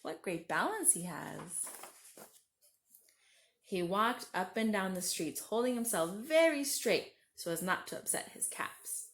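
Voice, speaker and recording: A woman reads a children's story aloud at an unhurried, slow pace. Her voice is medium in pitch and expressive. She often steps out of the text to speak directly to her young listeners. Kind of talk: read-aloud children's story with friendly asides.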